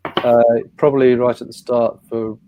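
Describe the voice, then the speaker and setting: A man's voice: medium pitch, level tone, moderate pace, lo-fi sound. A man chairing an online writers' panel, asking questions and reading audience questions aloud. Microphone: video call.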